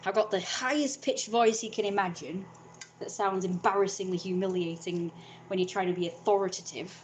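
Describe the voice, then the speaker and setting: A woman's voice: medium pitch, steady tone, fairly quick, a little hiss or hum. A young woman, talking in a frank, rambling vlog monologue and swearing freely.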